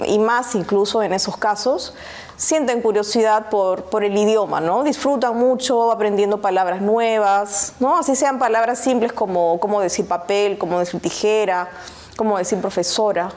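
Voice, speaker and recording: A woman's voice: medium pitch, normal speed, darkish tone. A woman from Peru, a cultural coordinator, speaking conversationally in an interview soundbite with fillers and tag questions.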